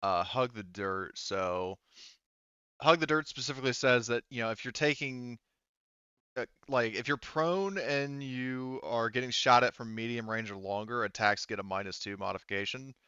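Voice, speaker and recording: A man refereeing a casual tabletop game as game master, halting and thinking aloud as he checks rules. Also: mid-range voice, pushed and bright, smooth, dry recording, muffled recording.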